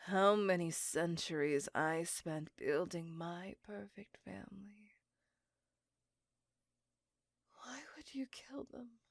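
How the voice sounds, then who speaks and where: A woman voicing an intense, possessive, centuries-old female vampire in a dramatic, breathy ASMR roleplay, often dropping into a whisper. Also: fairly low pitch, a little slow, no room sound, clean studio sound. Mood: furious, accusing.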